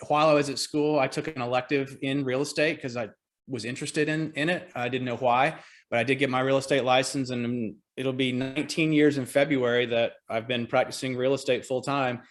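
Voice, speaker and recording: A man in his forties with a Southern American accent chats easily about his work over a video call. His voice sits at an ordinary middle pitch, and his talk is relaxed and self-deprecating, with dry humor. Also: fairly quick. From Georgia, US. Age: 40s. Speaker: real estate agent and team leader. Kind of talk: casual interview on a call.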